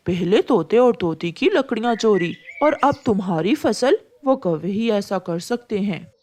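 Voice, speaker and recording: A woman's voice: medium pitch, animated, measured pace, a little mellow, a little hiss or hum, natural voice. A woman voicing a helpful, concerned male pigeon in a cartoon, earnest and full of advice.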